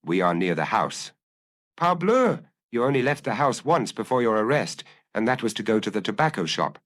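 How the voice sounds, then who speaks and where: A man voicing a brisk, commanding ex-police detective in an audiobook, brusque and impatient as he bargains. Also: on the low side, sing-song, fairly bright tone, no room sound, studio quality. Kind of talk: audiobook character acting.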